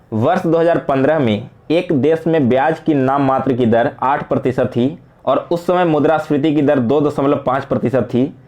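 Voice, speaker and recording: A man's voice: on the high side, a little mellow. A man with an Indian accent teaching exam prep, walking students through quiz questions and answering them with explanations, mixing in English terms.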